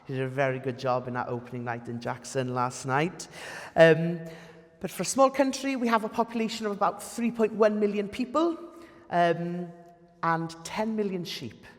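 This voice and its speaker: A man's voice: high voice, moderate pace. A young Welsh man, a native Welsh speaker, giving a friendly public history lecture with lots of "um" fillers. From Wales. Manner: friendly, self-deprecating, many "um" fillers. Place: lecture hall. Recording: reverberant hall.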